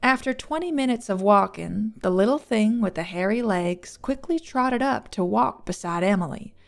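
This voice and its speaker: Gravelly-edged woman narrating fiction. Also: mid-range voice. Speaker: audiobook narrator. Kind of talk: scripted fantasy story narration.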